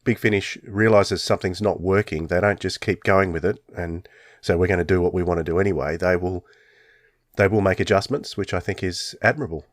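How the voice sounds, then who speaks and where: A man's voice: low voice, fairly even intonation, brisk, dry recording, clean studio sound. A man hosting a fan podcast in casual, enthusiastic chat. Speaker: podcast host.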